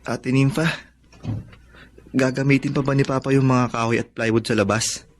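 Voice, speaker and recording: A man's voice: medium pitch, level tone, moderate pace, close to an everyday voice. A man acting in a radio drama as a wistful, determined young son who speaks earnestly and politely.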